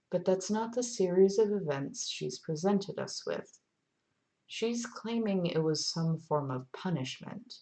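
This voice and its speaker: A woman giving measured, prepared commentary as a listener's voice message. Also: low voice, gravelly, darkish tone.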